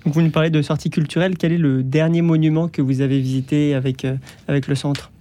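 A man's voice: a little high, fairly even intonation, fast, mellow, a little hiss or hum. A man hosting an interview, putting structured questions to his guests. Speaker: interview host. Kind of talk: radio-style interview questioning.

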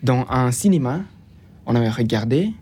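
A young man with a foreign accent, a non-native French speaker, gives halting answers as a student guest in an interview. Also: medium pitch, lively intonation, dark tone, slight background noise. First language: not French, learned it after moving to France. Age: young adult.

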